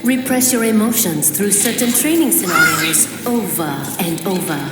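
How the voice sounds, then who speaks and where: A woman plays a cruel, condescending villainess in a game cutscene. Her mid-pitched voice is measured and mocking, ringing in a long reverb under loud music. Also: lightly acted. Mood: cold, menacing. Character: cold, condescending female villain, cruel handler. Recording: echoing hall, loud music behind.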